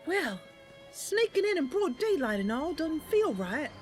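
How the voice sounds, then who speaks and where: A woman acts out a young wood elf adventurer with a high voice. She is earnest and careful, muttering her hesitant thoughts aloud in a fantasy game, with faint music behind her. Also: big pitch swings, a little slow. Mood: cautious, uneasy. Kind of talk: in-character video game roleplay.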